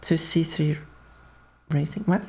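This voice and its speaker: Low-voiced Swedish woman streaming a racing game. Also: takes it slowly, husky. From Sweden. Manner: chatty, laughs often, talks to chat while racing. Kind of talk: casual gameplay commentary.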